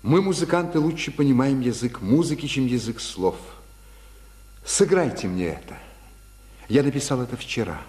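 An actor playing a solemn, soulful master musician, grave and intimate, in a 1950s Soviet radio drama. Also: male voice, mid-range voice, animated, normal speed, a little mellow, natural voice. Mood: tender, solemn. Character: solemn, soulful male master violinist-composer. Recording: 1950s radio recording, long reverb.

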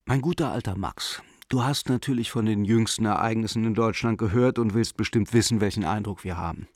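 A man's voice: on the low side, fairly quick, no room sound, clean studio sound, lightly acted. An actor playing a sentimental art dealer in his forties, reading out a letter in a radio drama in an earnest, confiding way. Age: 40s.

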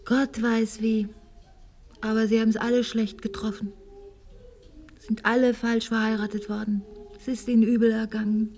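Genteel lady, husky voice, wistful, high-strung, theatrical, lyrical hushed phrasing, radio drama. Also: flat delivery. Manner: theatrical, lyrical, halting, often dropping to a hush. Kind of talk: German radio play acting.

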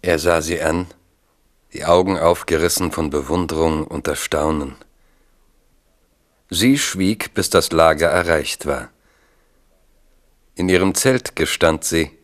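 A man narrating a 1970s radio drama in a measured, formal storytelling manner. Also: extremely low pitch.

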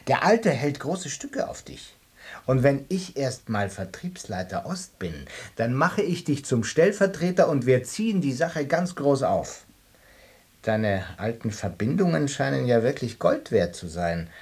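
A man playing a boastful, chummy West German sales boss, tipsy and talkative, in a husky voice in a radio play. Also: mid-range voice, darkish tone, heavily acted.

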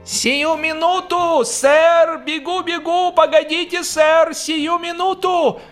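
A man voicing a bald, fussy old graveyard caretaker in a radio story, eager and servile, with a shrill, squeaky voice. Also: steady tone, fairly bright tone, soft background music, heavily acted. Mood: frantic, eager. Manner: hurried, exclaiming, obsequious.

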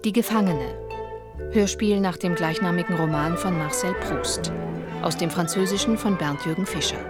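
A woman's voice: lowish voice, moderate pace. A woman reading scripted title and cast announcements for a radio drama. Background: brass music.

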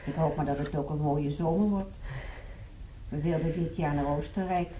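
An actress playing a chatty, cheerful hospital patient making small talk in a naturalistic radio drama. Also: female voice, sits low, moderate pace, dark tone, highs cut off, slight background noise, lightly acted. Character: chatty, cheerful woman patient keen on holidays.

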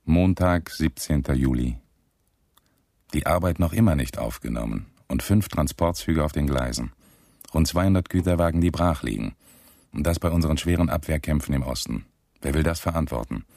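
A very deep, dryly recorded man's voice plays an aggrieved SS sergeant in a radio drama. He reads out his diary like a callous little official, complaining and pitying himself. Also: moderate pace, darkish tone, dry recording, clean studio sound. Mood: indignant, griping. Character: aggrieved low-ranking male SS sergeant, petty, callous camp functionary.

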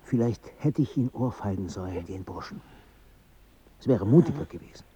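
A man's voice: on the low side, animated, normal speed, mellow, a little hiss or hum, natural voice. An actor playing a foreign traveller, a son who grieves for his father, intense and reflective, in a radio drama. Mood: subdued, tender. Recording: slightly dull sound.

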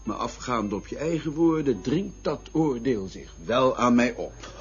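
An actor playing a dry, aloof, stern companion in a radio play, with a reserved, formal, reproving manner. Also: male voice, mid-range voice, animated, measured pace. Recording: dull lo-fi radio recording with music.

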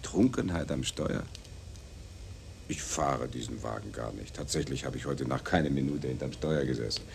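An actor playing an arrogant, foul-mouthed law graduate, condescending and mocking, in a radio crime drama. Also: male voice, very deep voice, moderate pace, vocal fry. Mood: smug, bargaining. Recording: noisy outdoor street ambience.

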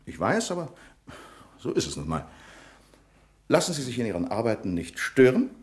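An actor playing a pedantic, self-important bank manager in a radio crime comedy, pompous and officious. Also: male voice, mid-range voice, wildly swooping pitch, normal speed, darkish tone. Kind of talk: 1970s German-language radio crime comedy acting.

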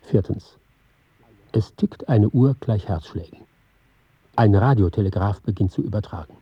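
An actor playing an intent, precise man who recites a sound score for a radio play in short, deliberate phrases. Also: on the low side, husky, dry recording, lo-fi sound. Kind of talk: dramatic scripted reading.

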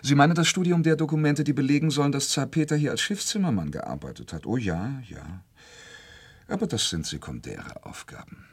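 An actor playing a suave, secretive young Russian count in a German radio play, florid and condescendingly jovial. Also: male voice, medium pitch, big pitch swings, fairly quick, husky. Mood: evasive, amused.

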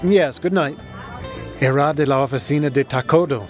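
A man's voice: medium pitch, normal speed, faint music behind, natural voice. An actor in a radio drama playing an arrogant, greedy foreign businessman who scorns poor workers. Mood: calculating.

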